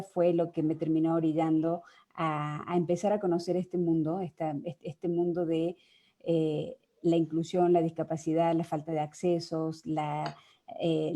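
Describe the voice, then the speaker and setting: A woman with a low, mellow voice tells her story as a panel guest over a video call. She speaks earnestly and often stops on "eh" and repeated words. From Argentina. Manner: earnest, hesitant with many fillers. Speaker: journalist guest. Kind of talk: personal account on a panel.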